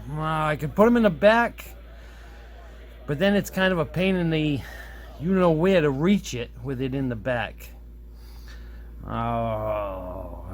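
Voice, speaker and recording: A man giving a casual hands-on demo, thinking aloud in an easygoing way as he presents his hobby project. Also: mid-range voice. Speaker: hobbyist presenter showing a radio modification. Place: indoors at a workbench. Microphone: camera on a tripod nearby. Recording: steady background noise, room ambience.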